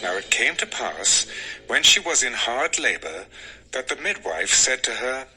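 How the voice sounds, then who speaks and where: A man narrates scripture in a dramatized audio style. His mid-range voice moves expressively up and down while staying measured. Music runs clearly beneath the narration. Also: medium pitch, very animated, sharp tone, poor audio.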